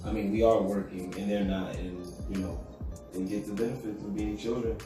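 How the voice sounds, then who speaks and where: A man, co-hosting a podcast in a casual, rambling chat at home, keeping his voice low. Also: steady tone, brisk. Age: adult with children. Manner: laid-back, rambling, talks low so as not to wake his sleeping son. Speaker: podcast co-host. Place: room at home. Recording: echoey room, distant mic, music.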